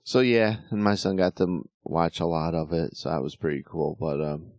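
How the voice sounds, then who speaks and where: A middle-aged man hosting a casual sports talk show, slightly hoarse, rambling with lots of fillers and restarts. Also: low voice, steady tone, moderate pace, a little mellow, dry recording, studio quality. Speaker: podcast host.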